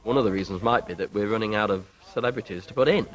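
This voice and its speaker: A man with a lowish voice speaks as an interviewee. He explains things in a measured way, pausing and hesitating as he finds his words. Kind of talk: talking-head interview.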